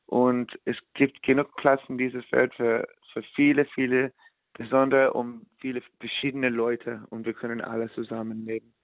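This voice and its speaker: A man from the United States, a musician, answering in halting German and slipping into English. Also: medium pitch, level tone, no echo. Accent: American. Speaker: musician guest. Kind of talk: casual interview in learner German. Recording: dry, phone-like line.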